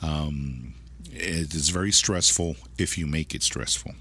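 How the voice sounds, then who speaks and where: A middle-aged man with a deep voice, speaking casually as an interview guest in a rambling way that often repeats phrases. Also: a little slow, bright, forceful tone, breathy edge. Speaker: car dealership manager, interview guest.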